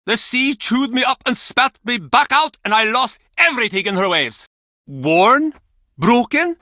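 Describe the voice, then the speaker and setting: A man's voice: extremely high pitch, lively intonation, measured pace, sharp tone, no echo, clean studio sound, heavily acted. A man playing a bitter, cranky ex-sailor in a video game, with a brusque, mocking, theatrical manner. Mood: bitter, brooding. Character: gruff, bitter Icelandic ex-sailor, cranky menacing male pub regular. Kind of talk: video game character acting.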